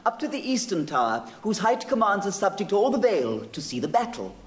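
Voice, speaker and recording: Animated gossipy manservant. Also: male voice, sits high, brisk. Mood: relishing gossip. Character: gossipy male servant reporting battlefield news. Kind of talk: classical Shakespearean stage acting.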